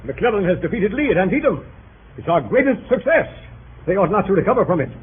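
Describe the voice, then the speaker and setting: Husky, high-pitched earnest statesman. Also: big pitch swings, fast, heavily acted. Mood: earnest, eager. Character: earnest, anxious male cabinet secretary bringing war news. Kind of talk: 1930s radio acting. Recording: tinny old radio broadcast.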